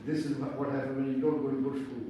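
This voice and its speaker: A man's voice: medium pitch, little pitch movement, very fast. Chatty man in an echoey hall. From India. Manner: chatty, self-deprecating, joking asides. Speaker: event speaker introducing the host. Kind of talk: formal introduction speech with asides. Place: large hall with audience. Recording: echoey hall, distant mic, faint noise.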